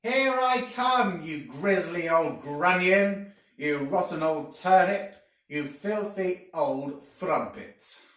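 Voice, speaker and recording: A man voicing a furious, spiteful old woman who shouts and draws out insults in a storybook reading. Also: sits high, lively intonation, voice pushed up, exaggerated voice. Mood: furious, shouting. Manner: shouting, drawn-out exclaiming. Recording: echoey room, far from mic.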